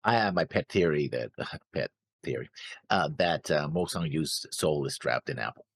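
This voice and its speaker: A man co-hosting a reaction show in casual chat, animated but halting, often correcting himself as he goes. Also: extremely low pitch, no echo, studio quality.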